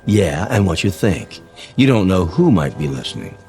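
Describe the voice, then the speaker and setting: A man's voice: low-pitched, very animated, moderate pace, darkish tone, faint music behind. An actor in a radio drama playing a smug, hawkish man who gloats and needles with menace. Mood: menacing.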